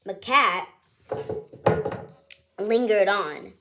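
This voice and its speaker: A woman's voice: medium pitch, expressive, very slow, noisy background. A woman hosting her own channel, reading a picture book aloud to children in a cheerful, chatty, singsong storytelling way.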